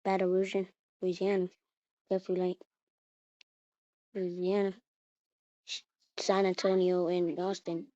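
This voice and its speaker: A woman reading out an improvised mock emergency alert as the announcer, in a halting way with repeated phrases. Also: fairly low pitch, monotone, dark tone, no echo. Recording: muffled lo-fi recording.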